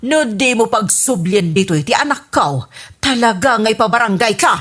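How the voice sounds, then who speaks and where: A woman with an Ilocano accent playing a quarrelsome mother in a radio drama, with a husky voice. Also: fairly high pitch, lively intonation, moderate pace, a bit bright. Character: sharp-tongued middle-aged mother Marta.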